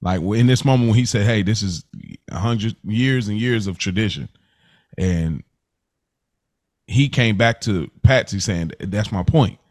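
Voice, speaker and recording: A man with an American accent and a fairly deep voice leads a casual recap discussion. His phrasing is informal, and he often puts questions to the others at the table. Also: a little slow. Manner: informal, poses questions to co-hosts. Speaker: podcast host.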